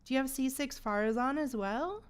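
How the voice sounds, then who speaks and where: A woman's voice: high-pitched, expressive, normal speed, crowd noise. A woman streaming a game, chatting casually with viewers in gamer slang. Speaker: game livestreamer. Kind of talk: casual livestream chat.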